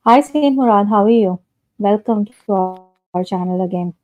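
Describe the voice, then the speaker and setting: A woman's voice: mid-range voice, normal speed, a little mellow. A woman hosting a live stream, speaking politely and hesitantly with many fillers over a video call. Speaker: host.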